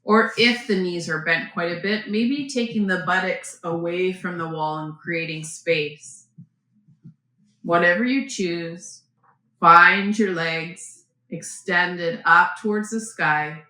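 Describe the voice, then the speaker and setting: A woman yoga teacher giving gentle, unhurried guided breathing and posture instruction, with pauses between phrases. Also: lowish voice. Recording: very echoey room, faint background noise.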